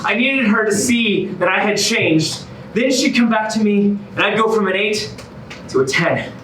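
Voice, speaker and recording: A man's voice: high-pitched, lively intonation, a little slow, noisy background. An actor playing an eager, earnest man desperate to win back his ex, in a theatrical musical comedy monologue. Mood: upbeat storytelling. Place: staged reading in a reverberant room. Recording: echoey room, distant microphone.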